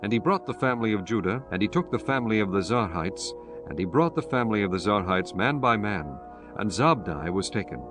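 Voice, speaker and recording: A man reading scripture as the narrator of a dramatized audiobook, in a solemn, measured manner. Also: fairly low pitch, lively intonation. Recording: music behind the voice.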